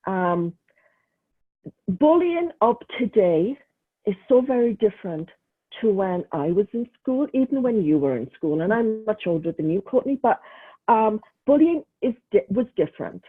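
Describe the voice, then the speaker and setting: Guest: middle-aged woman, husky, earnest and emphatic, heard over a tinny phone-like line. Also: mid-range voice, sing-song, measured pace. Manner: earnest, emphatic, repeats words for stress. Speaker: guest, retired nurse and mental health advocate. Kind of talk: casual interview talk. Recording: tinny phone-like remote call audio.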